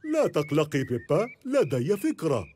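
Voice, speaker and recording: A man's voice: a little high, wildly swooping pitch, normal speed, warm tone, slight background noise. An actor voicing a cheerful, easygoing father pig in a dubbed cartoon, jolly and reassuring.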